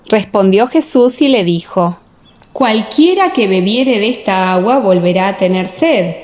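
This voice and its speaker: A woman narrating a scripture audiobook in a measured, solemn, scripted reading style. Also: medium pitch, a little mellow.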